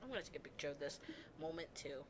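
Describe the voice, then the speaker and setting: A woman's voice: sits low, animated, fast talker, background noise. A woman streaming a game, rambling and gushing with emotion and some swearing. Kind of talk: excited gaming stream commentary. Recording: stream with loud game music behind.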